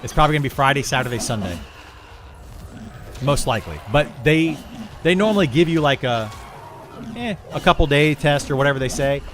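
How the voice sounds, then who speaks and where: Clear background noise sits around a middle-aged man with a medium-pitched voice. He talks in a loose, conversational ramble, like a game streamer chatting with viewers. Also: animated, fairly quick, long pauses, fairly bright tone. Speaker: video game streamer, host. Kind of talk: casual livestream chat, answering viewers.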